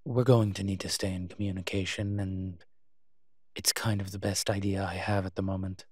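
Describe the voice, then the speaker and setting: A man acts out a male incubus demon professor for an intimate audio roleplay. The voice is low and husky, recorded very dry and close. He is proud and teasing, speaking slowly with hesitant pauses and a flirtatious edge. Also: flat delivery, a little mellow, no room sound. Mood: businesslike, hushed.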